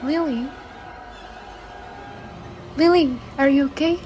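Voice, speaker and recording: Woman doing worried guardian, high pitch, slow urgent lines, faint music. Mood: anxious. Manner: anxious, urgent. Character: worried adult woman, Annabelle.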